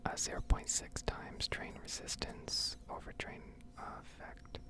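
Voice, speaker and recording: A woman whispering breathily in an unhurried, rambling ASMR gaming commentary, thinking aloud close to the microphone. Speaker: gaming ASMR video host.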